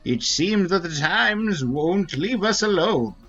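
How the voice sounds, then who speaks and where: Slow, high-voiced ancient elder played by a man. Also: lively intonation, fairly bright tone, husky, highs cut off, exaggerated voice. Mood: grave. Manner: slow, grave, formal delivery. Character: ancient, solemn Wingly elder, wise leader of a forest people. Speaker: game streamer. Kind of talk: dramatic read-aloud of fantasy game dialogue. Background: faint game music.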